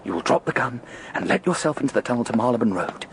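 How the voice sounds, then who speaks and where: An actor playing a scheming, exultant gentleman plotting murder in a radio drama, with a theatrical, coaxing, intense delivery. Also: mid-range voice, big pitch swings, racing, gravelly, background noise. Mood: urgent, gleeful.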